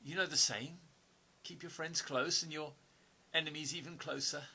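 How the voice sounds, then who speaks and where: A man acting in an audio play as an observant stranger with a hidden past, speaking in an earnest, deliberate, sometimes hesitant way. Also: fairly high pitch, fairly even intonation, bright, forceful tone, slightly rough, heavily acted. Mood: earnest, confiding.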